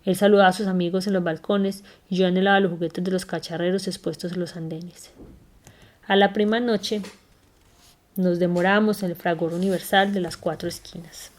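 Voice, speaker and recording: A woman reading a memoir aloud in an unhurried, careful way, now and then stumbling and correcting herself. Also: on the low side, steady tone. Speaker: reader.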